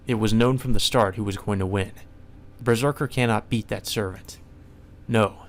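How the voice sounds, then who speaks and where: A man reading a visual novel aloud as solemn, dramatic scripted narration. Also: on the low side. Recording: music behind the voice.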